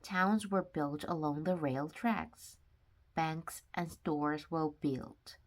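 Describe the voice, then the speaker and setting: A woman reading a children's nonfiction book aloud with simple, deliberate phrasing, sometimes stumbling over words. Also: deep voice. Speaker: read-aloud narrator of children's books.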